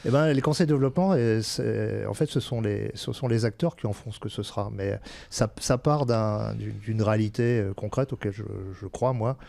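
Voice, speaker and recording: A man's voice: on the low side, brisk, creaky, dark tone, no echo. A man giving explanations as an interview guest, candid and often searching for his words. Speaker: senior local-government administrator, interview guest.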